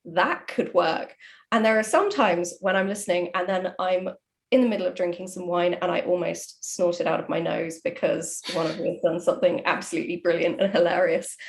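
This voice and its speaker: A woman's voice: fairly low pitch, steady tone, brisk. A woman chatting casually as a writer, telling anecdotes and acting out her own reactions. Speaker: audio drama writer.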